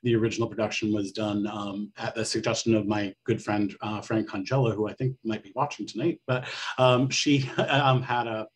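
A middle-aged American man from Connecticut with a husky voice, chatting casually as an interview guest on a video call. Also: lowish voice, steady tone, moderate pace, low-quality recording. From Connecticut, United States. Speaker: guest playwright.

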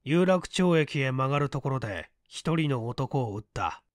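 A man playing a calm tough guy who lectures and commands in a leisurely, cool manner in an audiobook story. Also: mid-range voice, moderate pace, dry recording, clean studio sound, close to an everyday voice. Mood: stern, unhurried. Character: calm, unhurried tough man coolly lecturing his beaten foe.

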